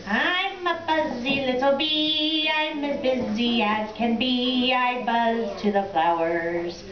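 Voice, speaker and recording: A woman's voice: on the high side, slow pace, a crowd in the background. A woman voicing a cheerful, busy little boy bee in a sing-song manner for a children's puppet show. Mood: cheerful chanting. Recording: echoey room, muffled, noisy background.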